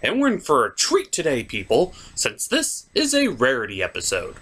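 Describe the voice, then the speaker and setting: A man hosting a scripted comedic cartoon review, speaking in a sarcastic, theatrical way as a snarky gentleman critic. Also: on the high side. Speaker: host of a cartoon review show.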